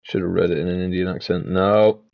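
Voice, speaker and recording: Male streamer, low and gravelly, casual self-talk, some swearing. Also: highs cut off. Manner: mutters to himself while working, casual swearing. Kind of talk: casual live-stream chat.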